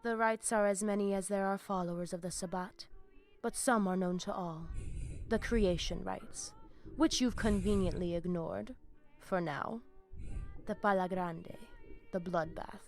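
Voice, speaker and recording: A woman's voice: mid-range voice, steady tone, unhurried, close to an everyday voice. An actress playing a proud, zealous female vampire pack leader in a video game, speaking in a solemn, grandiose, threatening manner. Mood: solemn fervour. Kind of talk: video game character dialogue. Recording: music behind the voice.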